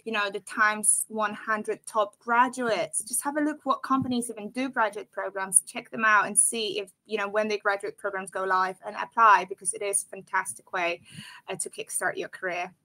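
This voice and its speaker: A woman from Poland speaks as a guest on an online careers session. Her tone is bright and clear, and she talks in a loose, chatty way. She laughs easily and fills her sentences with "uh" and "you know.". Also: mid-range voice, steady tone, moderate pace, rough recording. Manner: chatty, laughs often, many fillers. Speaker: company guest on a careers webinar. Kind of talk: casual advice on a live webinar.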